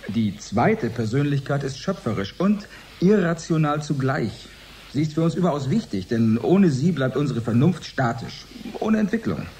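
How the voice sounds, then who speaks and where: A man acting an earnest scientist in a radio play. His mellow voice moves freely up and down as he lectures in careful, formal sentences, over faint noise. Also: medium pitch, big pitch swings, close to an everyday voice. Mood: earnest, explanatory. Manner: didactic, deliberate, formal. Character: earnest male research scientist explaining his theory. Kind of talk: radio drama, expert interview.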